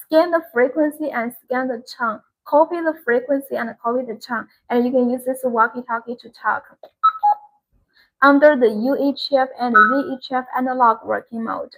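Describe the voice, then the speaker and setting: Lady with a high voice selling stuff on a livestream, explains it step by step and repeats herself. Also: low-quality recording. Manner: repetitive, instructional presenting. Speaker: live-stream product seller. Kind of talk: live-stream sales demonstration.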